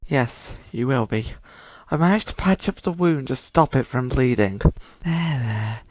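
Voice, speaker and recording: A patient, protective robot guardian acted by a woman in a fan comic dub. The voice sits low and soothing, with measured reassurance and a very dry, close sound. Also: animated, darkish tone, no room sound, heavily acted. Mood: gentle. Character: calm, protective animatronic caretaker, patient and reassuring. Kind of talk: amateur comic dub acting.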